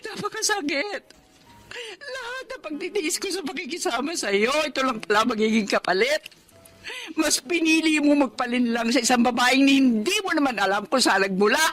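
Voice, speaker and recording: High-voiced, long-suffering wife. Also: female voice, animated, moderate pace, fairly bright tone, soft background music. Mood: anguished. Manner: emotional, reproachful. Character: wounded, long-suffering betrayed wife with hurt dignity. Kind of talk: Filipino radio drama acting.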